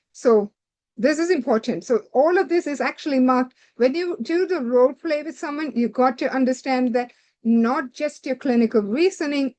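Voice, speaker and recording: A woman with a somewhat high voice lectures doctors preparing for a licensing exam. She talks directly and instructively, linking one point to the next with "so" and repeating her warnings. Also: a little mellow. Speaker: medical exam tutor.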